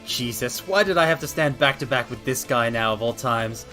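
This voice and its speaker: Expressive male streamer reading aloud over soft music. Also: mid-range voice, normal speed. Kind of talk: dramatic read-aloud of a visual novel with casual asides. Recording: faint game music behind voice. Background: game soundtrack.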